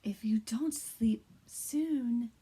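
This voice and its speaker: A woman teacher in her thirties reads a bedtime board book to kindergarteners, gentle and encouraging. She speaks slowly, in an unhurried way. Her voice is husky and breathy, and it often sinks into a hush. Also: fairly high pitch. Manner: soft, hushed reading, often whispering; gentle and encouraging to young children. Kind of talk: read-aloud of a bedtime picture book.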